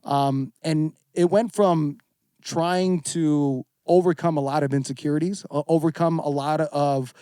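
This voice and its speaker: A man in his thirties speaks as a guest on a casual fitness podcast. His voice sits a little high and is recorded dry, with little room sound. He is earnest and reflective, and leans on casual slang. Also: fairly even intonation, unhurried, warm tone, clear, resonant tone, no room sound. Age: 30s. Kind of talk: candid casual podcast conversation.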